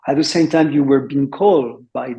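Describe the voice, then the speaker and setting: A man's voice: medium pitch, moderate pace, vocal fry, dark tone. A man moderating a roundtable on a video call, engaged and affirming as he thinks aloud. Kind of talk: conversational discussion.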